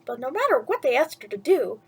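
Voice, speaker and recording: Woman w a high voice, chatty kids storytime host, expressive. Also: a little fast, breathy edge. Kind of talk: casual vlog chat and children's bedtime story reading.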